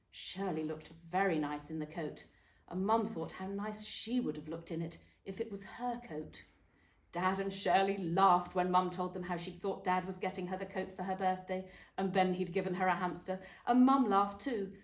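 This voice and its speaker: Echoey woman reading a children's story aloud. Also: mid-range voice. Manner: dramatic, with emphatic pauses and stressed words. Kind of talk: expressive children's book reading. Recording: echoey room.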